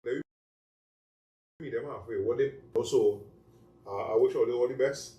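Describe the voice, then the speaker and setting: A man's voice: medium pitch, normal speed, dark tone. A middle-aged man with a Trinidadian accent, chatting as host in a loose, reflective, repetitive way. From Trinidad and Tobago. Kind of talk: casual chat. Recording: roomy sound, mic at a distance, faint noise.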